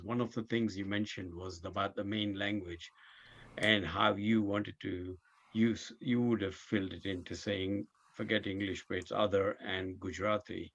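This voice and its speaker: A man with a gravelly, husky voice, asking a question in a webinar, halting and full of uhs. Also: fairly low pitch, dull audio. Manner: hesitant, halting, many "uh"s. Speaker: webinar participant.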